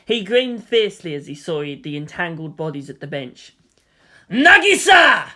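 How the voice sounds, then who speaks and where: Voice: mid-range voice, lively intonation, moderate pace. A narrator reading an action story aloud in a dramatic, scripted storytelling style.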